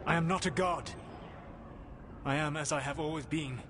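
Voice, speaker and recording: A man's voice: a little high, fairly bright tone, slight background noise, close to an everyday voice. A man playing a grave, formal elven god. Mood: defiant, burdened. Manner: measured, formal, grave, deliberate. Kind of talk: video game cinematic acting.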